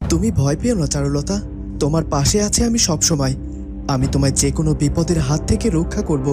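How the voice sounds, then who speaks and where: A man's voice: a little high, fairly quick, over music, close to an everyday voice. A man voicing an earnest young fairytale prince in a cartoon, in a dramatic, declamatory manner. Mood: urgent, protective. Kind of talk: Bengali cartoon dubbing.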